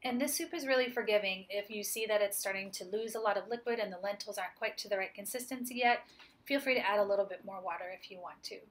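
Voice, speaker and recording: A woman's voice: mid-range voice, quick pace. Woman chef in her 40s walking thru a recipe, upbeat and chatty, plain mid voice. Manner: upbeat, explains each step plainly. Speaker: chef and cooking instructor. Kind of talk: friendly cooking demonstration. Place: home kitchen, indoors.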